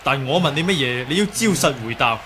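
An actor with a Hong Kong Cantonese accent playing a righteous, composed young martial-arts hero in a 1980s radio drama, speaking deliberately and accusingly. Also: male voice, a little high, animated, natural voice. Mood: firm, controlled. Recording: faint hiss on old radio recording.

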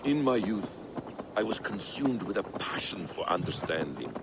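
A low, lilting male voice, playing an aged scholar-warrior in a video game. He speaks eloquently and gravely, with theatrical swells and dips, over clearly audible outdoor noise. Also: sing-song, normal speed. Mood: nostalgic, learned. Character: worldly, thoughtful Middle Eastern scholar-warrior, grave and poetic. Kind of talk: dramatic video game acting.

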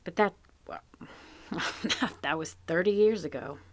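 A woman's voice: fairly low pitch, unhurried, no room sound, close to an everyday voice. An actress playing a shrewd, plainspoken farm wife in a live audio drama, wry and rural in her talk. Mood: wistful. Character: shrewd, sympathetic farm wife, middle-aged. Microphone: home closet mic, dry.

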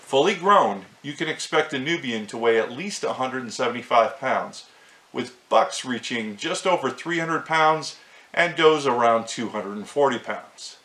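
A middle-aged man hosting a short farm-animal video, reading a script to camera in a clear, measured way. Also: mid-range voice, lively intonation, bright, forceful tone, echoey room, poor audio. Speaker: host.

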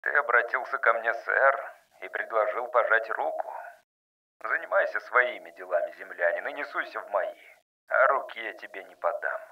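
A man's voice: lowish voice, animated, normal speed, creaky, recorded from a distance. A muffled communicator voice of a bitter, proud outcast man, played by a male audiobook narrator. He speaks curtly and sullenly, with resentment. The radio-like filter dulls the sound. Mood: proud, scornful.

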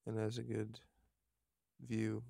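A man leading a guided meditation outdoors, speaking softly and haltingly, with unhurried instructions and long pauses. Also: lowish voice, completely flat delivery, gravelly, husky, no room sound, studio quality.

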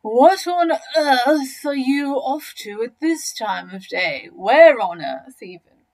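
A woman's voice: high voice, lively intonation, takes it slowly, darkish tone, pitched above the performer's own voice, exaggerated voice. A woman voicing a bumbling, disapproving male hippopotamus in a children's storybook, with stretched, emphatic words. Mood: puzzled. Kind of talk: children's storybook character reading.

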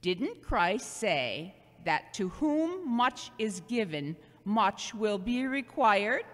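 Woman playing elderly mother, audio drama, earnest sermonizing, slow swooping delivery, gently scolding. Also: mid-range voice, sing-song. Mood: earnest, admonishing. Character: loving, preachy seventy-year-old mother, gently scolding. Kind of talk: amateur audio drama acting. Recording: long hollow reverb.

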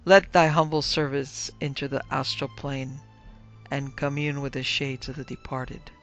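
A man's voice: mid-range voice, flat delivery, measured pace, fairly bright tone, muffled recording, faint music behind. Man playing a theatrical, moaning little phony medium. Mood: solemn trance. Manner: moaning, intoning, breathy theatrical pomp. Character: little dried-up old fake medium, theatrical, easily flustered.

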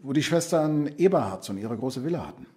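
A man's voice: medium pitch, expressive, a little fast, dark tone, close to an everyday voice. A man playing a matter-of-fact police colleague reporting findings in a German radio crime drama.

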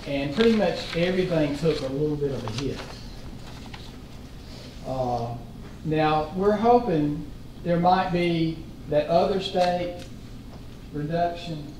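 A man presenting a school budget at a board meeting, explaining slowly with many fillers. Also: on the high side, animated, a little mellow. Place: large meeting room. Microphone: distant room mic. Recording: echoey room, far from mic, room noise.

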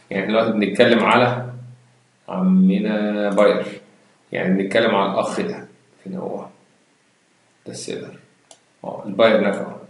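A man with an Egyptian Arabic accent teaching a database lesson over a screen recording, explaining step by step, mixing in English terms and asking rhetorical questions. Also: on the low side. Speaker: computer science instructor. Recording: very echoey room.

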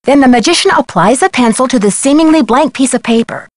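A woman's voice: high voice, animated, brisk, dry recording, clean studio sound. An actress playing an earnest, clever young female detective in a video game, explaining things with composure. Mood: earnest, composed.

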